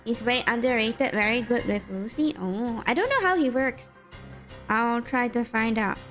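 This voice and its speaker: A woman's voice: a little high, normal speed, a bit bright, faint music behind. Chatty Malaysian woman game streamer. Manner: chatty, reacts to chat and reads game text aloud. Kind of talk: casual game stream chat with viewers.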